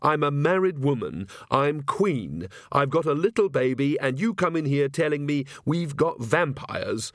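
A man's voice: on the high side, animated, a little slow, few pauses, no room sound, clean studio sound, voice pushed up. A man voicing an earnest, bossy young queen and new mother who is easily indignant, in a storybook reading.

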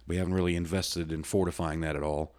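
A loud, very low-voiced middle-aged man from the United States hosts his own podcast alone. He rambles in a folksy, plainspoken way, stopping and restarting his sentences often. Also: flat delivery, quick pace. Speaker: podcast host. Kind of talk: solo casual podcast talk.

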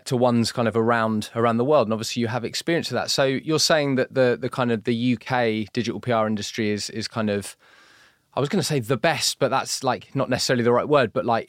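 A man's voice: medium pitch, no echo, studio quality. A man co-hosting a podcast, enthusiastic, asking long rambling questions. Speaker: podcast co-host.

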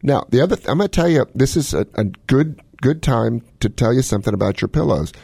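A man's voice: mid-range voice, animated, quick pace, vocal fry, a little mellow, slightly rough, no room sound. A man hosting a radio talk show, giving casual, folksy health advice with plenty of joking. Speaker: medical expert radio host.

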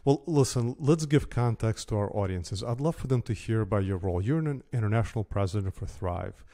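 Mellow-voiced podcast host man. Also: lowish voice, fairly quick. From Belarus. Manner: lively, emphatic. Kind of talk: podcast interview.

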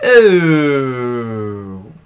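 A man's voice: medium pitch, very animated, extremely slow, clear, resonant tone. A man hosting a podcast, reading anecdotes aloud casually with informal, profane asides and interjections. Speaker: podcast host. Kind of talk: casual read-aloud of anecdotes with offhand commentary.

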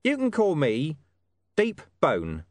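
A man's voice: on the high side, huge pitch swings, slow, dry recording, pitched above the performer's own voice, exaggerated voice. A man voicing a shady, streetwise talking dog who poses as a mysterious informant in an audiobook story. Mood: sly, conspiratorial. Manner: streetwise, evasive, menacing slang.

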